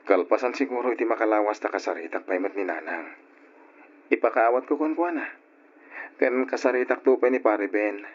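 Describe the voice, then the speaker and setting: A man's voice: on the low side, a little fast, lightly acted. A man acting a domestic role in an old-time Ilocano radio drama, with an Ilocano accent. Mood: conciliatory. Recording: dull, lo-fi old radio recording.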